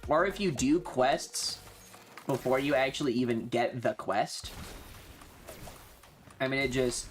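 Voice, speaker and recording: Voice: medium pitch, unhurried, faint music behind. A streamer chatting casually and swearing freely while playing a game on a livestream.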